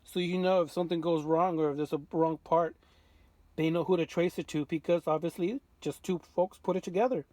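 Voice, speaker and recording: Higher-voiced man giving a casual repair walkthrough. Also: steady tone, fairly quick, darkish tone, dry recording. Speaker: vintage radio restorer, presenter.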